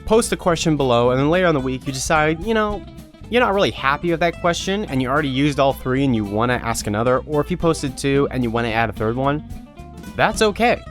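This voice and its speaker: A man speaking informally and directly to viewers as an online creator, explaining guidelines in a casual video announcement. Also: medium pitch.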